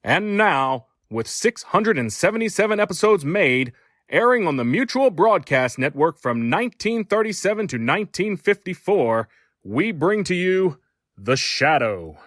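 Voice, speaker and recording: A man's voice: sits high, sing-song, measured pace, fairly bright tone, no room sound, studio quality. A man presenting a scripted introduction for an old-time radio podcast.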